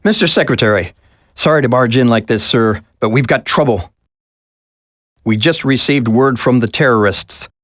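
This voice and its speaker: A man acting as an earnest government aide in a video game. He reports politely and formally in a medium-pitched voice, with a dry, close sound. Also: moderate pace, no room sound, lightly acted. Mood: urgent, apologetic.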